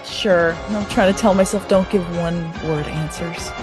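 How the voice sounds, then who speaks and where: A woman's voice: on the low side, measured pace, music in the background. A woman chatting casually and informally as a guest answering questions on a podcast. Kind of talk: casual chat.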